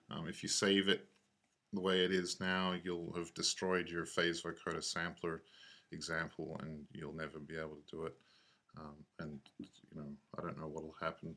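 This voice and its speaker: A man giving a casual software tutorial, thinking aloud in a laid-back, offhand, slangy way. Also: low voice.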